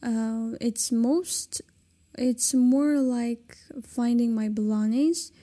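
A woman's voice: fairly high pitch, fairly even intonation, drawn-out words, warm tone. A woman in her late twenties from China, a native Kazakh speaker, hosting a casual solo podcast in English and talking hesitantly with many fillers and restarts. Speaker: podcast host.

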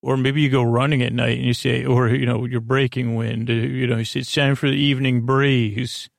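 A man's voice: mid-range voice, little pitch movement, fairly quick, creaky, dry recording, clean studio sound. A man hosting a bedtime podcast in a rambling monologue, hesitant and meandering, often losing his thread and doubling back. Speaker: podcast host.

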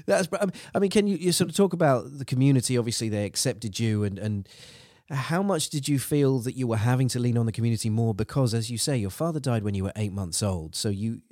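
A man's voice: medium pitch, animated, fast, a little mellow, no echo, studio quality. A man from the United Kingdom hosting a podcast interview, eager and rambling with many restarts. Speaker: podcast host.

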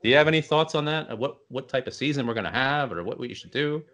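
A man hosting a casual interview, putting his questions in a rambling, halting way. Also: mid-range voice, animated, fairly bright tone. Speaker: interview host.

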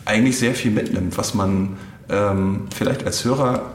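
A man hosting a podcast in casual, halting, conversational German-style small talk. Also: lowish voice, reverberant, slight background noise. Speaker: podcast host.

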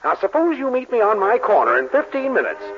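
A muffled vintage radio recording of a blustering middle-aged boss. His high, husky voice swoops extravagantly, fussy and theatrical, as in an old sitcom. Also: sing-song, a little fast, a little hiss or hum, heavily acted. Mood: scheming. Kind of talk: 1940s radio comedy acting.

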